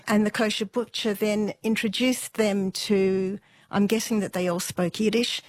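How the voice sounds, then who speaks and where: A woman's voice: medium pitch, fairly even intonation, unhurried, darkish tone, no room sound. Woman interviewee, dry close sound. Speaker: interview guest. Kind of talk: conversational family storytelling.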